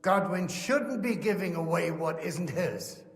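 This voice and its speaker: High-pitched wry nobleman, echoey hall. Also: a little slow. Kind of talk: dramatic video game acting. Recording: echoey hall with long reverb.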